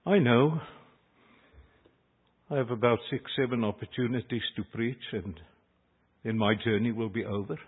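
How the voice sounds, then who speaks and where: A man's voice: mid-range voice, steady tone, normal speed, long pauses, breathy edge, phone-quality sound. An older South African man whose first language is Afrikaans, a pastor preaching an earnest, emphatic sermon that rises on key phrases. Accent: South African English.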